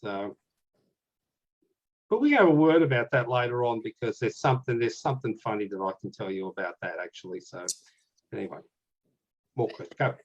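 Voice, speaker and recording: A man with an Australian accent, a guest author talking in a casual, rambling way and clearing his throat now and then. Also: medium pitch, expressive, normal speed, long pauses, muffled recording. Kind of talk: casual conversation.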